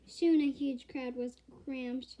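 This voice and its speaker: A woman reading a children's picture book aloud, expressive and emphatic, sometimes halting over words. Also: high-pitched, highs cut off, slight background noise. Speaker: storyteller.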